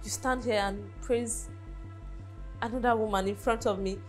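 A woman's voice: a little high, steady tone, normal speed, faint music behind, lightly acted. An actress playing a devoted wife who pleads with and confronts her husband, often repeating herself. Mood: hurt.

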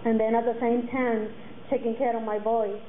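A woman's voice: on the high side, little pitch movement, a little hiss or hum. Earnest woman speaker, tinny phone-like sound. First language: Mixtec. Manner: earnest, halting with many fillers and restarts. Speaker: guest speaker. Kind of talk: personal talk to students. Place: classroom with curtains, indoors. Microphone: table mic on a stand.

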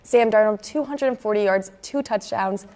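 A young woman with an American accent gives conversational sports commentary as a co-host. Her pitch sits in the middle for a woman, and she talks at a studio desk into a lapel mic. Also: normal speed, darkish tone. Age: young adult, early 20s. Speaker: student sports show co-host. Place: indoor campus TV studio at a desk.

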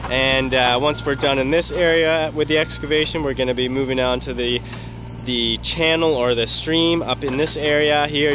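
A man's voice: medium pitch, background noise. A young man, a pond builder, casually walking through an outdoor backyard job site and explaining the work, with frequent "uh" fillers, filmed on a handheld camera at arm's length. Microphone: handheld wide-angle camera at arm's length.

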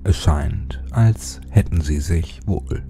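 A man narrating a scripted horror story in a dramatic, suspenseful manner with deliberate phrasing. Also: deep voice, over music.

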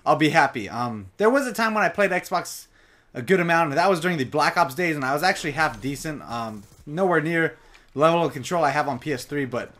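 A young man giving casual live commentary over his own gameplay, talking straight to his viewers, his voice a bit raspy from long sessions. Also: a little high, lively intonation, brisk, a bit bright. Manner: chatty, casual, addresses viewers directly.

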